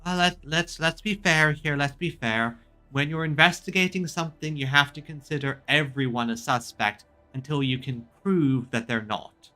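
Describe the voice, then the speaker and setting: A man's voice: a little high, normal speed, hardly any pauses, bright, forceful tone, soft background music. A man doing casual video game commentary as a streamer, chatting and reading lines aloud.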